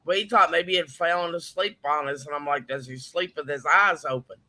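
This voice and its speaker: A woman's voice: low-pitched, moderate pace, pushed and bright. A woman in her fifties, a native Japanese speaker, telling stories in a casual chat. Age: 50s. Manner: storytelling, with a chatty pace.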